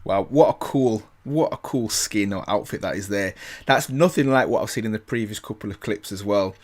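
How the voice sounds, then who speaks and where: A man with a fairly low voice gives casual reaction commentary as host. He sounds enthusiastic and often repeats himself for emphasis. Faint music plays behind him. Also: normal speed.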